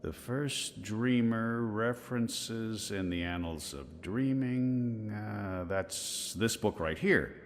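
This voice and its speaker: A man's voice: lowish voice, slow, hardly any pauses, a little hiss or hum. An actor playing a scholarly, curious, dry-witted older man in a video game, musing in a bookish way. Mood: studious musing. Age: middle-aged. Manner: bookish, musing, exclaiming over finds. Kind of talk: video game dialogue acting.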